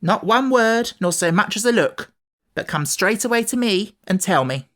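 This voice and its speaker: A woman voicing an indignant, scolding housekeeper in a children's storybook, sharp and cross in manner. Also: medium pitch, expressive, moderate pace, pitched above the performer's own voice, lightly acted.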